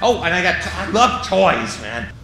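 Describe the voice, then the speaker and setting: A man's voice: high-pitched, sing-song, moderate pace, loud music behind the voice. Jokey stand-up comic man, echoey club. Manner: jokey, drops into silly voices and sound effects. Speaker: stand-up comedian. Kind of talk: stand-up comedy set. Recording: echoey club with audience noise.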